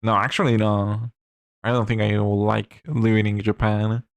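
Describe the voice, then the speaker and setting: A man's voice: fairly low pitch, flat delivery, normal speed, no echo. A man from Chile with a Chilean Spanish accent, a native Spanish speaker chatting casually on a livestream, musing aloud while he works and answers chat. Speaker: streamer.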